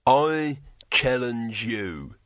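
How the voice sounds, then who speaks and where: A man voicing a gruff, blunt barbarian hero in a fantasy audiobook, with a growling manner. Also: mid-range voice, very animated, very slow, fairly bright tone, no echo, heavily acted. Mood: challenging.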